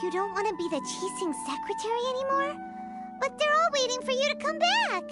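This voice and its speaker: A woman voicing an excitable tiny female fairy companion in a video game, with a high chirpy voice. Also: brisk, over loud music. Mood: excited. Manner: eager, chatty, easily impressed. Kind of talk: game voice acting.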